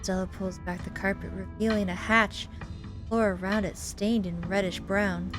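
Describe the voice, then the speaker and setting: A woman reading a visual novel aloud as a gaming host, in an expressive, theatrical way. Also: mid-range voice, normal speed. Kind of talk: playthrough reading, narrating and voicing visual novel dialogue with reactions. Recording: music behind the voice. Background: game music.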